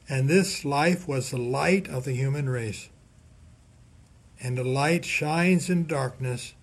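A man reading a scripted scripture reflection as a priest, measured and deliberate, with emphatic pauses. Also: medium pitch, breathy edge. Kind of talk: scripted sermon reflection read aloud.

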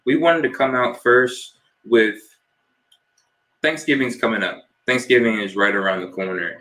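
A young man from New Jersey co-hosts a casual podcast in a fairly low voice. He keeps it relaxed and loose, tossing out shout-outs and questions to his co-host. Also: low-quality recording.

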